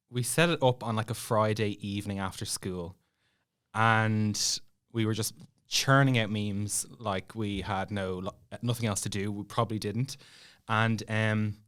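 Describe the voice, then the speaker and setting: A man's voice: lowish voice, a bit bright, clean tone. A young Irish man telling a story as a podcast guest in a casual, chatty, self-deprecating way. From Ireland.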